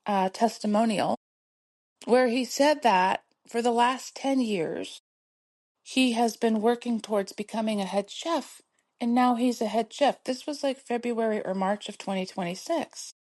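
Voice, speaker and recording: A woman's voice: a little high, measured pace, darkish tone. A middle-aged woman hosting her own podcast, talking in a soothing, encouraging way and laughing often as she urges listeners to keep going. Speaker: podcast host. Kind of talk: motivational solo podcast talk.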